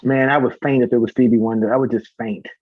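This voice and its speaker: An American man, an interview guest and singer, telling casual anecdotes in an animated way and acting out the dialogue. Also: mid-range voice, fast, slightly rough. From St. Louis, United States. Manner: animated, acts out quoted dialogue. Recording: echoey, dull lo-fi audio.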